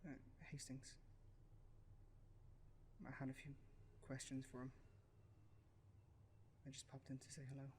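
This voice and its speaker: A man's voice: medium pitch, completely flat delivery, a little slow, long pauses, darkish tone, a little hiss or hum, close to an everyday voice. A man with an Irish accent acting a grieving young husband in a radio play, evasive and soothing, repeating his reassurances. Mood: evasive, placating.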